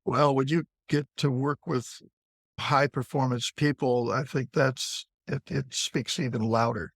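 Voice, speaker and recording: A man's voice: mid-range voice, steady tone, a little slow, dry recording, clean studio sound. A man hosting a casual interview, putting loose, informal questions and remarks to his guest. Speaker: interviewer, host.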